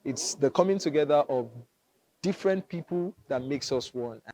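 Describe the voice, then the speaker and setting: A man's voice: a little high, lively intonation, moderate pace. A man with a Nigerian accent giving an unscripted answer in an interview. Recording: noisy interview with clicks.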